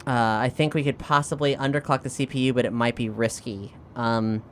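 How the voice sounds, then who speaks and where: A woman playing a tabletop role-playing game, improvising casually and thinking aloud in halting phrases. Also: a bass voice, slight background noise.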